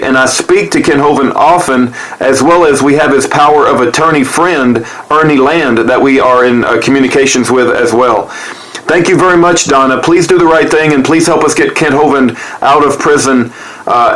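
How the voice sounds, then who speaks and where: A man's voice: medium pitch, a bit bright, poor audio. A man speaking in an earnest, polite and pleading way, like someone leaving an appeal by phone. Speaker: activist making and sharing a phone appeal.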